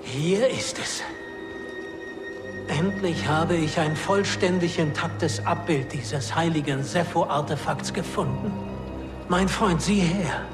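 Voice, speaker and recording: An actor playing a solemn, scholarly mentor who explains things in a measured way, in an audio drama. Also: male voice, fairly high pitch, over music. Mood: solemn, intent. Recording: long hall reverb.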